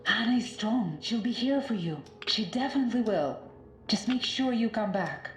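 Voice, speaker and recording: An actress playing a gentle, reassuring woman who nurses a patient in a video game, speaking in a soothing, hushed manner. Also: on the high side, animated, moderate pace, lightly acted. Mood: caring, reassuring. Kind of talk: dramatic video game acting. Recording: echoey room with clear background noise.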